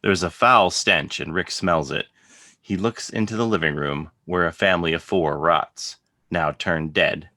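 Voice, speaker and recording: A man narrates a script's scene directions. His voice is very low and crisp-edged, recorded dry and close. He reads in measured, declarative sentences. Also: pushed and bright, no echo.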